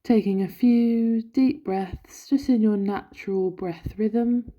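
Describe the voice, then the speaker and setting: A woman leading a guided body scan meditation in a gentle, soothing, instructional manner. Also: medium pitch, steady tone, takes it slowly. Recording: dull, lo-fi, roomy echo.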